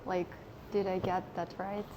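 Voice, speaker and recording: A woman's voice: fairly low pitch, level tone, a little slow, warm tone, a little hiss or hum, rough recording. A woman hosting an interview, asking questions and offering encouraging murmurs. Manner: encouraging, frequent back-channel murmurs. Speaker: interviewer, host. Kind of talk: casual interview.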